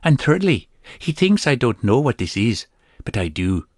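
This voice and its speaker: A man's voice: medium pitch, sing-song, moderate pace, a little mellow, dry recording, pitched above the performer's own voice. A defiant young rogue swordsman whose voice rises and falls with heated insistence, as a male narrator puts him on. The recording is dry and close. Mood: angry.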